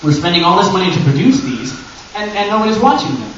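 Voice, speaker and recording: American man, fairly high voice, informal convention presenter, joking and conversational, recorded distantly in a very echoey room. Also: fast talker. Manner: animated, conversational, self-deprecating joking. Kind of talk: informal live presentation to an audience. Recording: echoey, dull, noisy room from far off.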